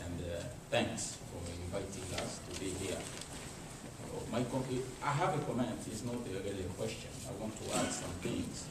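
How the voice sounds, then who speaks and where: Congolese guy speaking up from the audience, halting english, super echoey and noisy. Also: sits low. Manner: halting, non-native phrasing. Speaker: embassy representative commenting from the audience. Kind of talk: impromptu formal comment. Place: meeting room, speaking without a microphone. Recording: very echoey, distant, clearly noisy room.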